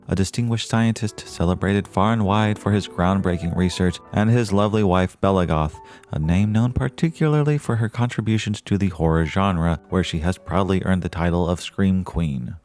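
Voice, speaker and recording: A man's voice: on the low side, darkish tone, close to an everyday voice. A man playing an earnest small-town radio host, delivering flowery, formal announcements in an audio drama.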